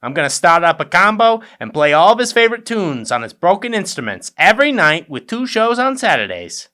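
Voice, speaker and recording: Radio play role for a man: eager, brash grown son, forceful tone, very animated delivery. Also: high voice, a little fast, heavily acted. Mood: eager, cocky. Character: brash, eager younger son, aspiring jazz bandleader. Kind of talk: comic radio play acting.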